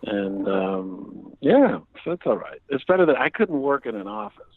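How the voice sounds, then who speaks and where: A man in his fifties, a guest actor on a podcast, joking about himself in a relaxed, wry way over the phone. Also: mid-range voice, normal speed, gravelly, dry recording. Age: 50s. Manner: wry, self-mocking, laughing easily. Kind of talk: casual podcast chat by phone. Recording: muffled phone line.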